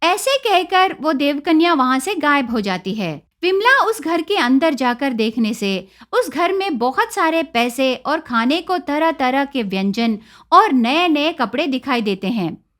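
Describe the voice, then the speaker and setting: Female storyteller for children's moral tales: high voice, animated scripted narration. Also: unhurried.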